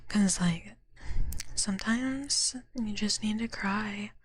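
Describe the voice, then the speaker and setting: A young woman whispers close to the mic in a sleepy, teasing drawl. Her breathy voice moves slowly and sits dry and near. She sounds worn out and a little out of it. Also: medium pitch, no room sound. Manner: soft whisper, drowsy, giggly, teasing. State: tired and out of it. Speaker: streamer. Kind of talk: whispered ASMR chat with viewers.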